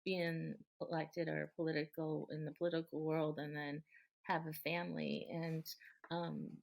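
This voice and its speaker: A middle-aged woman speaking as a guest in a relaxed interview, earnest and thoughtful, with frequent ums, restarts and light laughter. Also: deep voice, normal speed, vocal fry, rough recording. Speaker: guest, local politician.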